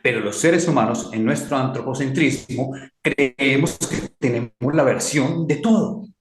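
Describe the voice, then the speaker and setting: A man from Colombia with a Colombian accent, talking as a mentor in a measured, reflective way. He leans on key phrases and says them twice. His voice has a roomy echo around it. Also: medium pitch. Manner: slow, emphatic, repeats key phrases. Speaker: guest speaker, mentor. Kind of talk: reflective talk.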